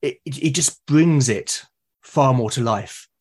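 A man's voice: medium pitch, a little slow, slightly rough. A British man speaking as a podcast guest, explaining things earnestly in casual conversation. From Britain.

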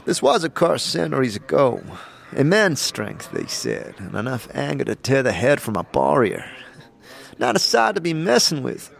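A man's voice: mid-range voice, sing-song, moderate pace, gravelly, voice pushed up. A man voicing a world-weary woman who leads soldiers of justice in a fantasy audio drama, wry, knowing and easygoing. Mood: grave, musing. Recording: music under the voice.

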